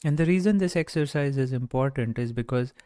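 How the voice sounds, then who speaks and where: A man guiding a meditation in a gentle, measured way, speaking off the cuff with long pauses. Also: mid-range voice, no room sound, clean studio sound. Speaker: meditation guide. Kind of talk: guided meditation, unscripted talk.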